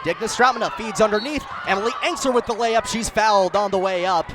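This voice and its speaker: High-pitched young male play-by-play announcer. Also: fast. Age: 20s. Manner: energetic, clipped descriptive phrasing. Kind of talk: live sports commentary. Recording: busy game crowd behind. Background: sports crowd and court noise.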